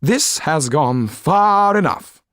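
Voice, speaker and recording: Guy doing a snooty french marquis for an audiobook, curt n pompous, pitched up, swoopy intonation. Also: expressive, slow, fairly bright tone, dry recording, studio quality. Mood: stiff irritation. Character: haughty, disdainful French marquis, stiff and curt.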